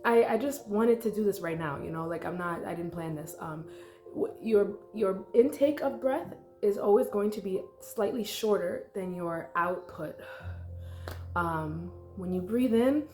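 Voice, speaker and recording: A woman's voice: mid-range voice, unhurried, dark tone. Congested young woman rambling in an echoey room. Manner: rambling and chatty, full of likes and ums. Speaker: meditation vlogger. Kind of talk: casual unscripted guided meditation talk. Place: her apartment. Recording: echoey room with faint music.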